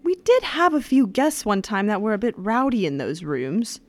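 A woman acting a helpful, quick-witted lodge worker in a 1930s-style radio mystery. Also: medium pitch, very animated, no echo, close to an everyday voice. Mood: evasive, polite.

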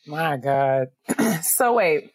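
A woman's voice: sits low, animated, very slow, a little mellow, no room sound. A woman co-hosting a casual podcast chat, who speaks hesitantly and weighs her words. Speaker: podcast co-host.

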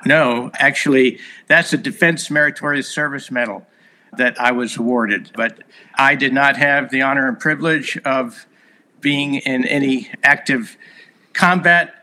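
A man's voice: mid-range voice, steady tone, a little slow, pushed and bright, poor audio. A man in his sixties whose first language was Hungarian, a guest telling long stories in a podcast interview with many fillers. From United States. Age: 60s. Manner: earnest, expansive storyteller with many fillers.

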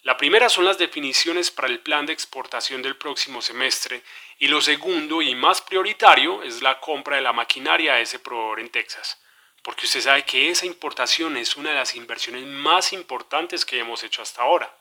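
A man's voice: mid-range voice, normal speed, bright, forceful tone. A man playing a worried, businesslike executive in a scripted podcast scene, speaking with urgency. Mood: worried, resolute. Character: worried businessman anxious about dollar swings, pressing and decisive. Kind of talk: scripted podcast dramatization, one side of a call.

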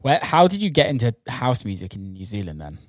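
A man's voice: mid-range voice, sing-song, fairly quick. A man hosting a podcast, asking curious questions in an easygoing, casual way. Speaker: podcast host.

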